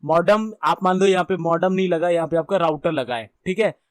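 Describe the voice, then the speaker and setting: High-pitched Indian man teaching. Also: fairly quick, clean tone, dry recording. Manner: conversational, repeats and rephrases points. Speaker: computer networking instructor. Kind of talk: informal explanatory lecture mixing Hindi and English.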